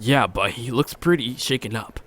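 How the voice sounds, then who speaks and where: A man's voice: mid-range voice, moderate pace, fairly bright tone, a little hiss or hum, close to an everyday voice. Man acting a bossy, good-natured rescuer. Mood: brisk, reassuring.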